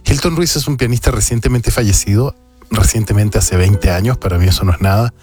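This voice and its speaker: A man's voice: fairly low pitch, fairly quick, darkish tone. A man, hosting a jazz radio show with casual, reflective, opinionated music commentary. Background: music playing behind the voice.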